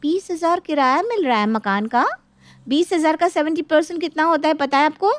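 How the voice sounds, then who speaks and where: A woman's voice: sits high, brisk, dry recording, voice pushed up, close to an everyday voice. A woman voicing a greedy, haughty grown daughter in a moral story, scolding and threatening imperiously. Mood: demanding. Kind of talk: dramatic moral-story acting.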